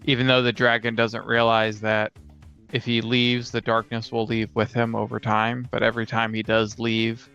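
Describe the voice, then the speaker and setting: A man speaks in a flat, gravelly yet resonant voice on a slightly dull, lo-fi recording. He is a co-host casually brainstorming fantasy stories, pausing as he assembles each idea. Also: medium pitch, soft background music. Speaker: podcast co-host. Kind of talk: casual creative brainstorming about tabletop fantasy lore. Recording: dull lo-fi sound.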